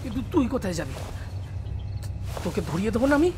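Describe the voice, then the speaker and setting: A man's voice: high-pitched, big pitch swings, fast, background noise. A man playing a frightened, agitated young man in an audio ghost drama, urgent and insistent. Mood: threatening. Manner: urgent, insistent, breathlessly pleading. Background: prominent background music.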